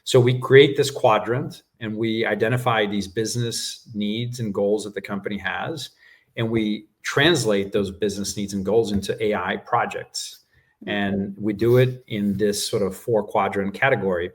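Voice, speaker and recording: A man whose first language is Portuguese, calmly explaining his work as a guest in a business interview, with frequent fillers. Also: on the low side. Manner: measured explanations with frequent "uh" and "right?". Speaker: guest executive.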